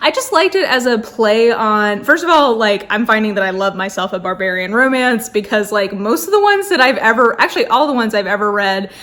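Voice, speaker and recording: A woman's voice: fairly high pitch, lively intonation, fairly quick, hardly any pauses, fairly bright tone. A woman chatting casually and effusively as a book vlogger, with an informal delivery full of "like".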